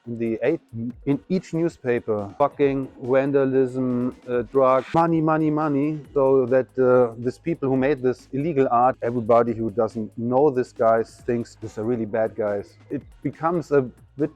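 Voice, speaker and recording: A man in his fifties, a graffiti artist, giving reflective, halting interview answers at an art show. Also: mid-range voice, a little mellow, no room sound, muffled recording, soft background music. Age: 50s. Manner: reflective, halting, non-native phrasing.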